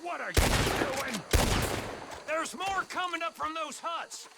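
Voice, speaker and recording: Gruff creaky-voiced Western outlaw. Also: male voice, extremely high pitch, expressive, takes it slowly, very noisy, exaggerated voice. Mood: angry, shouting. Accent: American. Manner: terse, commanding. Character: gruff, short-tempered Old West outlaw gunman. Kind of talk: video game acting. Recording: loud gunfire and clicks over voice.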